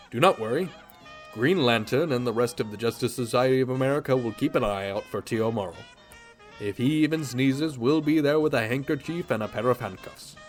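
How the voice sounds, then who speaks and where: A man's voice: medium pitch, a little mellow, lightly acted. A man playing an earnest old-time radio hero. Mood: weary. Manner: earnest, dramatic, declamatory. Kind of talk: 1940s radio acting.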